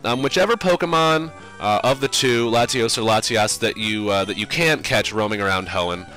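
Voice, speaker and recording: A man's voice: on the low side, normal speed, sharp tone, faint music behind, poor audio. Sinusy man rambling over a game. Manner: casual, rambling, many fillers. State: sinusy from a cold. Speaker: game commentator. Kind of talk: let's play commentary.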